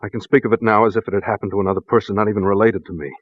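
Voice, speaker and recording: A man playing a grave, haunted husband in a 1940s radio drama, with a measured, formal, slightly husky delivery. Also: lowish voice, fairly even intonation, no room sound, natural voice. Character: grave, secretive husband haunted by his mad brother. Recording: dull, dry vintage radio recording.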